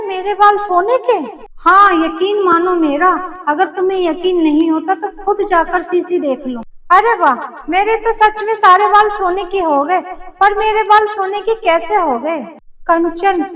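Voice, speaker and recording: A woman's voice: very high voice, fairly bright tone. A woman voicing young female characters in a cartoon fairy tale, in a theatrical, exclamatory way. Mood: amazed. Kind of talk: Hindi cartoon story voice acting. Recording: echoey, distant-sounding voice track.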